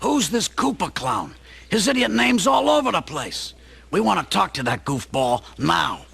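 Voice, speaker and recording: An actor playing a hot-tempered, blunt Brooklyn plumber in a radio play. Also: male voice, high voice, big pitch swings, moderate pace, gravelly, pushed and bright, husky, heavily acted. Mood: irritated. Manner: blunt, exasperated. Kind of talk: audio drama acting.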